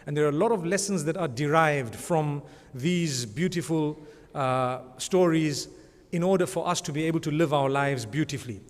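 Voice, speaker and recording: A man's voice: on the high side, moderate pace. Man speaking in an echoing hall. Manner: conversational, rambling, frequent religious blessings. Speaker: award presenter. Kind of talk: speech at an awards ceremony. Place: large conference hall. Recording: long hall reverb.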